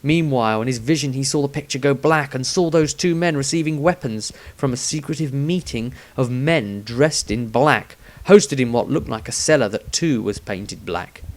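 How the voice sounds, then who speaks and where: A man's voice: medium pitch, moderate pace, hardly any pauses. A man reading his own fantasy story aloud as a scripted, dramatic narration.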